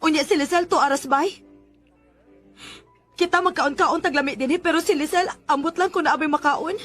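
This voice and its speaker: Radio drama: caring young elder sister, high-pitched, insistent, light music underneath. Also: female voice, brisk, a bit bright. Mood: indignant confrontation. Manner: earnest, insistent. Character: caring, protective older sister who challenges her parents. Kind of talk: Bisaya radio drama acting.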